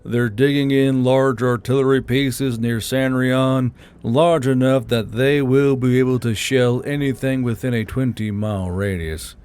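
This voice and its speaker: A man doing a steady army commander. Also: mid-range voice, measured pace, hardly any pauses, clear, resonant tone, close to an everyday voice. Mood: commanding. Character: male military commander addressing troops.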